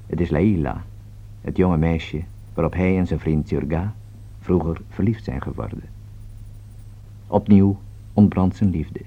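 A man with a Netherlands Dutch accent reads a formal scripted opera introduction as a radio announcer, in measured, careful enunciation. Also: low-pitched, breathy edge. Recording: dull, band-limited old radio recording.

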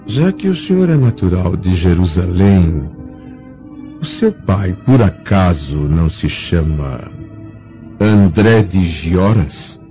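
An actor playing a grave, inquisitive middle-aged Roman senator with a formal, measured manner in a radio drama. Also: male voice, low voice, expressive, heavily acted. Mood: probing. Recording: dull lo-fi audio with music.

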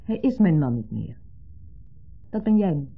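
Patient wife, lively intonation. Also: female voice, low voice, measured pace, husky, full character voice. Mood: defensive. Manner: reassuring, matter-of-fact. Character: patient, reassuring wife, mildly exasperated. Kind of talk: 1970s Dutch radio play acting. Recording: muffled narrow-band 1970s radio recording.

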